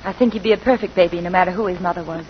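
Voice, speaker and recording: An actress playing a guarded, quietly resentful young wife in a 1940s radio drama, clipped and restrained. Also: female voice, on the low side, very fast, natural voice. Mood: polite, uneasy. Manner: clipped, restrained, polite but tense. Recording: muffled, noisy 1940s radio broadcast.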